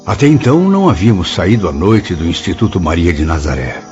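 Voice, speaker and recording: Radio drama pupil: lowish, very varied pitch, courteous and formal, music faintly behind. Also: male voice, sing-song, quick pace, a little mellow, lo-fi sound. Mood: courteous, reflective. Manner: formal, literary, polite. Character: courteous, curious male apprentice spirit recounting his memories. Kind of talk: radio drama acting and narration.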